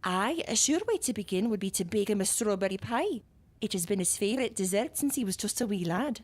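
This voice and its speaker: A woman's voice: medium pitch, animated, a little fast, close to an everyday voice. A woman acting a genteel, coaxing mother. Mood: conspiratorial, encouraging. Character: genteel, persistent matchmaking mother of a grown son. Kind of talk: period audio drama acting.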